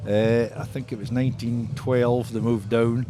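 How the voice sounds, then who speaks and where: A man's voice: mid-range voice, level tone, moderate pace, mellow. Older Scottish Borders man, club historian. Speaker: rugby club historian, interview guest. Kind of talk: conversational interview, recounting club history.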